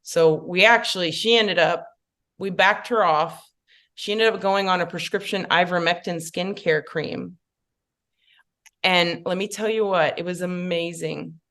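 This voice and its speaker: A woman's voice: lowish voice, steady tone, measured pace, a bit bright. A woman makeup artist, chatting casually as a podcast guest with many fillers and asides.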